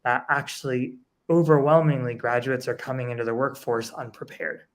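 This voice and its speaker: A man's voice: mid-range voice, fairly even intonation, moderate pace. A man speaking as an education panelist, analytical, building his points through rhetorical questions. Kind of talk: panel discussion answer.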